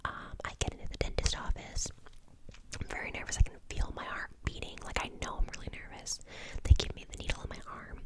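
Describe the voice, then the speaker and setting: A woman in her twenties from the United States, whispering an ASMR question-and-answer session in a chatty way with lots of "um" and "like". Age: 20s. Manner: soft unvoiced whisper, chatty with many fillers. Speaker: ASMR channel host.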